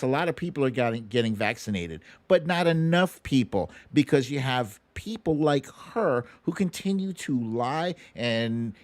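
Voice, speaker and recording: Slow-talking American man hosting a livestream. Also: mid-range voice, no room sound. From United States. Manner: informal, slangy, conversational, plain-spoken. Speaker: host of a political commentary livestream. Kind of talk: casual livestream commentary, taking viewer questions.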